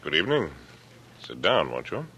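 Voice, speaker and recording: An actor playing a smug, calculating middle-aged killer in an old American radio drama, speaking in a taunting, deliberate, condescending way. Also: male voice, sits low, sing-song, bright, forceful tone, breathy edge, exaggerated voice. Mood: smooth, guarded. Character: coolly menacing older killer, smug, patronizing and calculating. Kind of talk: 1940s radio drama acting. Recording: noisy vintage radio broadcast recording.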